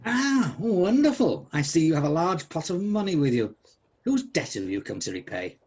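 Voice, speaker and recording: A man plays a miserly, bad-tempered old businessman in a comic radio drama. The voice is husky and swings widely in pitch, full of blustering sarcasm and scorn. The recording is slightly dull. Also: sing-song, normal speed, a little mellow. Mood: sarcastic.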